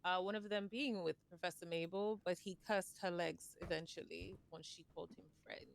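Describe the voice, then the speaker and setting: A woman playing a measured discussion leader in a fantasy realm, feeling out her words and probing with questions in live online roleplay. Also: fairly low pitch, fairly even intonation. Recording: clearly noisy mic with clicks.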